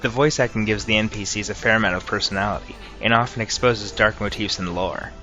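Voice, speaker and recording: A man's voice: fairly low pitch, fast talker, bright, forceful tone, muffled recording. A man narrating scripted video game commentary as a reviewer, with music in the background.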